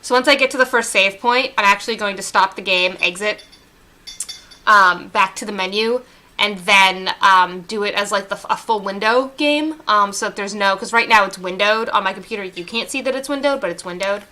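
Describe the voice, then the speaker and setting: A woman's voice: medium pitch, moderate pace, pushed and bright, a little hiss or hum. A woman streaming a video game, chatting casually and rambling with lots of fillers. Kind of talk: casual let's-play chat.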